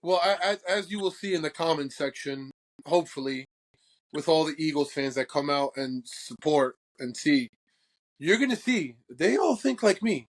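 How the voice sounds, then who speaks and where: A man's voice: fairly high pitch, lively intonation, moderate pace, low-quality recording. A young man from Croatia co-hosting a football podcast, brash and boastful, swearing freely in casual chat. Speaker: podcast co-host.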